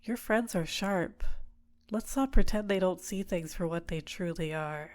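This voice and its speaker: Low, soft-spoken possessive villainess, acted by a woman. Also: a little slow, lightly acted. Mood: possessive, coaxing. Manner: soft-spoken, teasing, drops into whispers. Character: possessive female villain, coaxing and manipulative, tenderly doting on captive. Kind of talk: ASMR roleplay, intimate personal attention.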